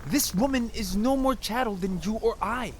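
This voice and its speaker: A man's voice: sits high, normal speed, slight background noise, lightly acted. A man playing a conscientious, compassionate young squire in a fantasy fan dub, earnest and troubled. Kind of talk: theatrical archaic fantasy dialogue in a fan dub.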